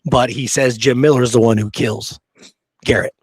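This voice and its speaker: A man's voice: medium pitch, fairly even intonation, normal speed, slightly rough, no room sound. A man hosting a podcast, discussing history in a casual, conversational way with blunt, opinionated remarks. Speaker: podcast host.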